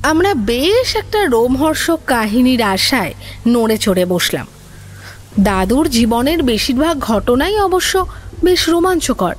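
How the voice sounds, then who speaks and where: A woman narrates an audio story from a script. Her voice is somewhat high and moves expressively at a slow, dramatic pace. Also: animated.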